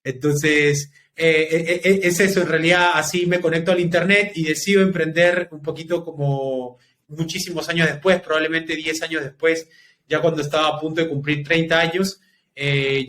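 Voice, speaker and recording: Guy, 30s, podcast guest, slightly high voice, faint noise underneath, thoughtful storyteller. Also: steady tone, a bit bright. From Peru. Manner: reflective, talks in long unhurried stretches. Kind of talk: casual interview storytelling.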